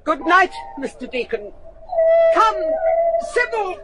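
Stern, imperious manor mistress, swooping pitch. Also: female voice, sing-song, slow pace, loud music behind the voice, heavily acted. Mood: dismissive. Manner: imperious, formal, clipped. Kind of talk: gothic radio drama acting. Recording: noisy radio drama recording.

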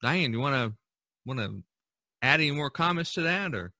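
A middle-aged or older American man hosting a podcast in a conversational way, with a husky voice. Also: medium pitch, animated, normal speed, sharp tone, dry recording, clean studio sound. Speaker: podcast host.

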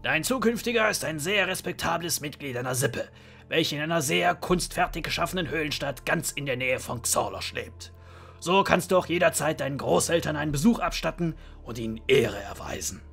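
A man playing a stern, unyielding dwarven father in a fantasy audio drama, speaking formally and with authority in a raspy voice. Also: fairly high pitch, lively intonation, a little fast, fairly bright tone, soft background music, heavily acted. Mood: formal, firm.

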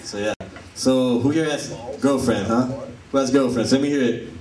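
Young Puerto Rican comic, Spanish-accented English, playful crowd work, roomy distant live sound. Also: male voice, mid-range voice, moderate pace, very noisy. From Puerto Rico. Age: young adult. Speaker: stand-up comedian. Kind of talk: stand-up comedy set. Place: comedy club stage. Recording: echoey live room from a distance. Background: laughing audience.

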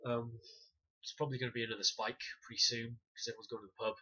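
A man, reviewing a documentary to camera in a small room, animated and demonstrating punches with wry sarcastic asides. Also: mid-range voice, rapid-fire, husky. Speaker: self-defence instructor reviewing a documentary. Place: small room indoors, banner behind. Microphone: webcam at desk distance. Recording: dull lo-fi webcam audio.

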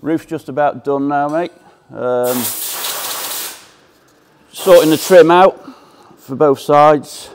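A man's voice: a little high, takes it slowly, slight background noise. A man giving a plain, matter-of-fact update on his work in a vehicle workshop. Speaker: workshop fabricator.

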